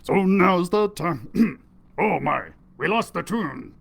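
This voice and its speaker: Gravelly man reading in pirate talk. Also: high-pitched, animated, slow. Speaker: children's librarian reading aloud. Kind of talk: storytime read-aloud. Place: library video recording.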